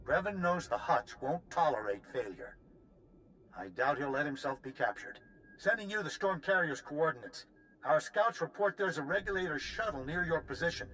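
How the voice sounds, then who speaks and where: An actor voicing an earnest official in a video game, giving formal, grave and urgent mission briefings. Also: male voice, on the high side, expressive, moderate pace, sharp tone, soft background music, poor audio. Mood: solemn urgency. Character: earnest male resistance official briefing an agent.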